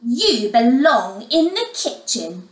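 A woman's voice: a little high, sing-song, takes it slowly, roomy sound. A woman voicing a snooty, spiteful stepmother with an effusive, theatrical manner, as in a children's storybook. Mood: scornful. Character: snooty, spiteful stepmother, gushing over her daughters. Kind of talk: children's storybook character reading.

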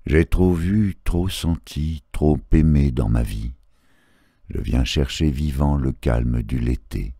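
A man reciting poetry in a solemn literary style, measured and phrase by phrase, with pauses. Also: very deep voice, dark tone, slightly rough, dry recording, clean studio sound. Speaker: poetry reader.

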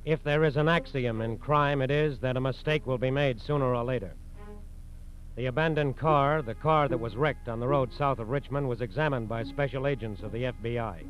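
A man's voice: mid-range voice, fairly quick, soft background music. Male 1940s radio narrator, muffled recording.

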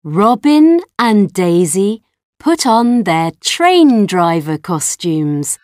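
Very slow woman reading a children's story. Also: mid-range voice, sing-song, a little mellow, a little hiss or hum. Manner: very slow, clear, sing-song reading.

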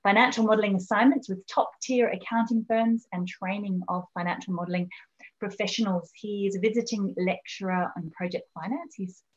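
A woman's voice: medium pitch, highs cut off. A woman hosting an online meetup, introducing a guest in a chatty way with fillers. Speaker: meetup host. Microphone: video call.

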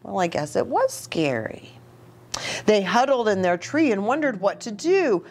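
A middle-aged woman reading a picture book aloud to young children, animated, with chatty asides and questions for her listeners. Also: mid-range voice, unhurried. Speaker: children's ministry storytime host. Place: small indoor reading nook with bookshelf and curtains.

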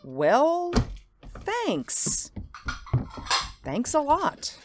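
A woman's voice: sits high, huge pitch swings, extremely slow, over music, heavily acted. A woman playing an indulgent, mildly uneasy housewife and mother in an audio drama, polite and a little hesitant. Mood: indulgent, wry.

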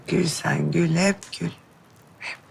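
An actress playing a weary, softly stubborn young woman in love in a television drama, talking in short repeated phrases. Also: deep voice, steady tone, slow pace, creaky, breathy edge, heavily acted. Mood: teasing. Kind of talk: naturalistic TV drama acting.

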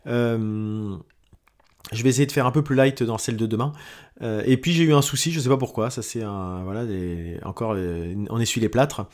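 Man, halting casual monologue, lots of fillers, mid-pitched voice. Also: a little mellow. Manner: halting, many fillers and restarts. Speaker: podcast host. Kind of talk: weekly audio diary monologue.

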